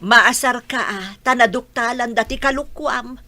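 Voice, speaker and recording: A woman's voice: fairly high pitch, unhurried, pushed and bright, dry recording. A woman with a Filipino Ilocano accent playing a firm, concerned middle-aged mother who admonishes her child, in radio drama style. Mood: concerned, scolding.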